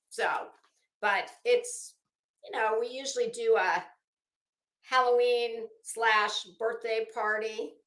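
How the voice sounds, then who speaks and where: A woman's voice: on the high side, a bit bright. A woman chatting casually as a livestream host, rambling and theatrical, often breaking off mid-thought and starting again. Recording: echoey room sound.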